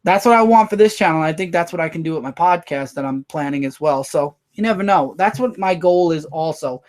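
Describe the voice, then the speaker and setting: A man hosting a casual livestream, rambling through viewer comments with quick asides. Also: on the high side. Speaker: livestream host.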